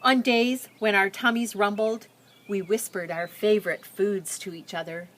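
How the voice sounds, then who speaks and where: A woman reading a picture book aloud, telling the story and pausing with simple explanatory asides for young listeners. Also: medium pitch, fairly even intonation, fairly bright tone.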